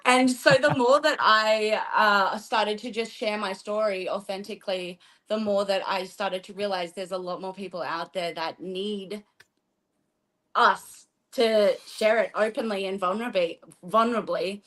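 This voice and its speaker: A woman's voice: mid-range voice, fairly even intonation, measured pace, fairly bright tone. Aussie woman on a video call, earnest, opens up about herself. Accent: Australian. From Australia. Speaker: guest, intuitive coach.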